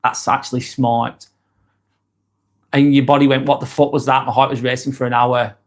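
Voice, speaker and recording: A man's voice: medium pitch, little pitch movement, moderate pace. Lancashire man livestreaming, rambling and chatty, mid-range voice, lots of ums and restarts. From East Lancashire, England. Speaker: livestream vlogger. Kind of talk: casual livestream chat, reading out viewer comments.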